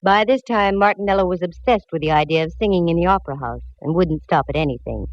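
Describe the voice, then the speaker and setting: An actress playing a tough, wisecracking female private eye, narrating in brisk hard-boiled style on a 1940s radio drama. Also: on the low side, background noise, close to an everyday voice. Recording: dull old radio broadcast with music.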